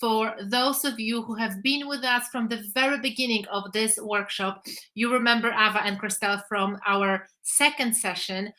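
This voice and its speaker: A woman hosts an online workshop, her voice bright and forward and sitting a little high. She welcomes her guests with formal enthusiasm, and the sound comes through a video call. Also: moderate pace. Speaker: workshop host.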